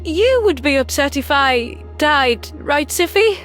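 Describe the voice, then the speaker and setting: A tiny female rodent alien from a sci-fi audio story acted out by a man, pitched extremely high. She is small, timid and sweetly needy, with music underneath. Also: animated, takes it slowly, fairly bright tone, heavily acted. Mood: needling.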